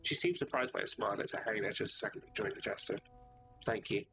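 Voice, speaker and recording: A man's voice: on the low side, expressive, racing. A man streaming a narrative game live, reading the narration aloud with casual asides of his own. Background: game music.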